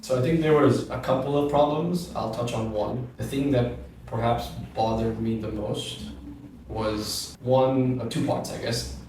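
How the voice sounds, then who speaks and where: A man in his thirties, a gym co-founder, talking in a measured, reflective way on a podcast and often stopping to rephrase. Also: mid-range voice, steady tone, a little mellow, far from the mic. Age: 30s. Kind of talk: podcast conversation. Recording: roomy echo, off-mic, faint noise.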